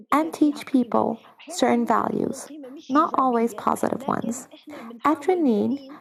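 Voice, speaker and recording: A woman answering interview questions in a measured, formal way, as if from prepared answers. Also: sits high, gravelly, poor audio. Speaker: guest, social entrepreneur.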